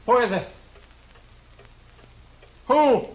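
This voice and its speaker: An actor playing a desperate fugitive killer who speaks in florid, theatrical, menacing phrases in an old radio drama. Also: male voice, very high-pitched, animated, drawn-out words, heavily acted. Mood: commanding. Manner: grandiloquent, quotes old verse, threatening. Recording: dull, faintly noisy old radio recording.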